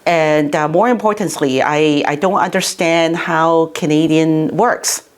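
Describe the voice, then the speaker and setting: A middle-aged woman, originally from Hong Kong, talks as an interviewee about her work as a city councillor. Her voice sits somewhat low for a woman. She speaks in a loose, chatty way, full of "you know" and restarted phrases. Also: unhurried, clean tone. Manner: chatty, many fillers and restarts. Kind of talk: interview answers.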